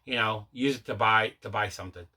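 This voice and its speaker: A man with a bright, forceful voice presents a collecting show in a casual, chatty way. He sounds enthusiastic and circles back over his own phrases. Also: fairly low pitch, fairly quick. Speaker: host of a collecting video show. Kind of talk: casual unboxing vlog chat.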